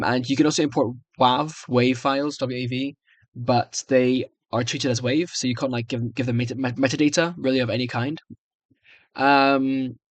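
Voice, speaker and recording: A young man giving casual, hesitant, rambling commentary as he tries out software on screen, often muttering reactions to himself. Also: mid-range voice, no room sound. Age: young adult. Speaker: video creator. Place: small room indoors.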